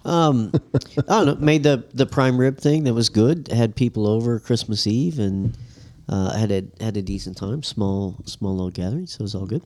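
Stuffy middle-aged man, lower voice. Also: moderate pace. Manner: animated, opinionated ranting. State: stuffy, congested. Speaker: podcast co-host. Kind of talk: casual chat.

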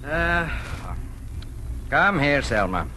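An actor playing a soft-hearted, hesitant farmer father, mild and halting, in 1940s radio style. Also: fairly high pitch, very animated, full character voice. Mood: gently stern. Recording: noisy old 1940s radio recording.

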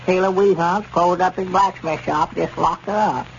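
An actor playing a folksy, easily puzzled old country storekeeper with a rural Southern accent in a 1930s radio comedy. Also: male voice, high voice, steady tone, normal speed, vocal fry. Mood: matter-of-fact. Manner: homespun, plain-spoken, grumbling. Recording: dull, noisy 1930s radio recording.

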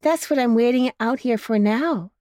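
A woman's voice: on the high side, normal speed, a little mellow, dry recording, clean studio sound, voice pushed up, natural voice. A woman doing fretful mother dog Missus Bow Wow. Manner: fretful, sighing, emphatic.

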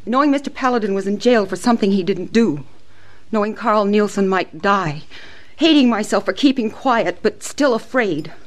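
An actress playing an anguished but resolute frontier wife in a radio western, with halting, earnest delivery. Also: female voice, medium pitch, lively intonation, lightly acted. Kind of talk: old-time radio western acting.

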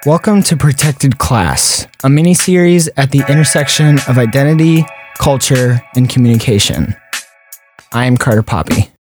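A man's voice: medium pitch, normal speed, a little mellow, faint music behind. A young man hosting a student podcast, reading a scripted intro and then interviewing with curious, encouraging follow-up questions.

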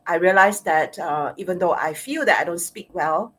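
A woman with a Singaporean accent hosts the interview, asking questions in a halting way with plenty of uhs and repeated words. Her voice is low and a little husky, and it sounds breathy around the edges. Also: a bit bright. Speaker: interviewer, sexologist. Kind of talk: interview host.

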